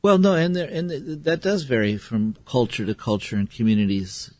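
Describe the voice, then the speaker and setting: A man's voice: mid-range voice, very animated, moderate pace, a little mellow, no echo. A man interviewing a guest in an easygoing, affable way, chuckling often as he talks. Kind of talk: casual interview talk.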